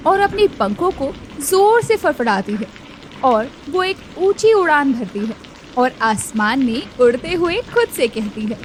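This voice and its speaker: A woman narrating a children's cartoon story in a scripted, expressive storytelling manner. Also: sits high, unhurried, slight background noise, low-quality recording.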